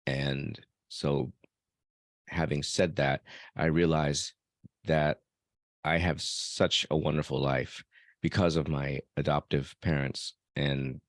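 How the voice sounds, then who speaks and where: A man with an exceptionally deep voice, recorded dry with little room sound. He talks reflectively as a podcast guest, choosing words thoughtfully and pausing within phrases. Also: level tone, no room sound. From born in El Salvador, raised elsewhere by adoptive parents. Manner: measured, thoughtful, pauses mid-phrase. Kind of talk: reflective personal conversation.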